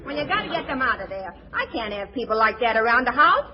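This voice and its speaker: Bossy American gangster's wife with airs, high and swooping, 1940s radio comedy, muffled sound. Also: female voice, sing-song, fast talker, husky, some chatter behind. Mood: indignant. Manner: indignant, slangy, bossy. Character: brassy, bossy gangster's wife putting on refinement. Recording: dull 1940s radio recording.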